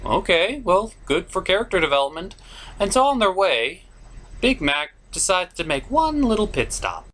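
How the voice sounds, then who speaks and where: A man hosts a scripted comedy review. His pitch is animated and moves around a lot as he recaps. The delivery is theatrical, shifting between dry narration and bigger reactions. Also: moderate pace, slight background noise. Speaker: reviewer, show host. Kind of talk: scripted comedic cartoon episode review, puppet show.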